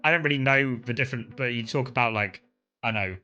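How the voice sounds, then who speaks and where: A young streamer from Kent with a southern English accent talks to his viewers in a loose, rambling way, stopping and starting often. His voice is bright and clear and sits at an ordinary middle pitch for a man. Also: fast talker, highs cut off, soft background music. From England (Kent). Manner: rambling, relaxed, many fillers and restarts. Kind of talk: casual chat with stream viewers.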